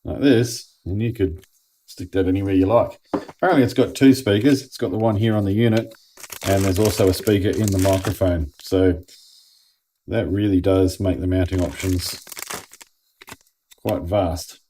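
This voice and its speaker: Aussie bloke with a low voice doing a casual gear review, laid back, kinda thinking out loud. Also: male voice, normal speed, long pauses, slight background noise, poor audio. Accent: Australian. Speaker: product reviewer. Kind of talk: casual unboxing and review commentary.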